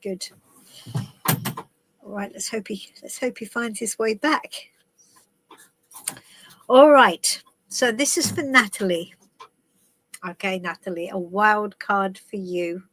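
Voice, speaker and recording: A woman's voice: medium pitch, slow pace, long pauses, fairly bright tone, no room sound, poor audio. A woman with a head cold giving chatty, rambling tarot readings on a live stream while travelling. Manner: chatty and rambling, with many ums and restarts. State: has a head cold, stuffy and coughing. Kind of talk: casual live-stream chat and card reading.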